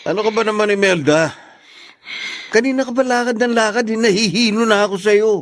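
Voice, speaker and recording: A man's voice: high-pitched, brisk, lightly acted. A man with a Filipino accent playing an irritable, sarcastic husband in a radio drama. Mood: irritated.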